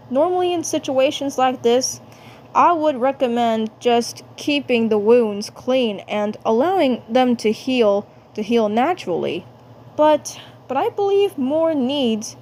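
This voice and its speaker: A woman voicing a caring male cartoon helper, earnest and reassuring, in a deliberate, repetitive delivery. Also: a little high, expressive, darkish tone. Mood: serious, determined. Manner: deliberate, repeats phrases. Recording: faint background noise.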